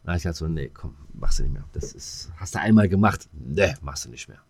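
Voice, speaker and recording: A man's voice: very deep voice, expressive, fast talker, breathy edge, dry recording. A middle-aged man, chatting casually as a podcast co-host. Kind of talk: casual chat.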